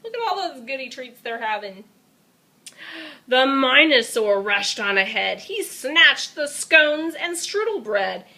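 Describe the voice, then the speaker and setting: Animated, high-voiced woman reading a storybook. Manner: animated, child-directed, dramatic emphasis. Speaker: storybook reader. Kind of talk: expressive children's storybook read-aloud.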